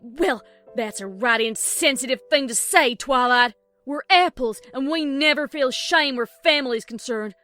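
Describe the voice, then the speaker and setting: A woman's voice: sits high, moderate pace, bright, forceful tone, soft background music. A woman with an American Southern accent voicing a proud, protective young farm mare in a cartoon pony audio drama. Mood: affronted.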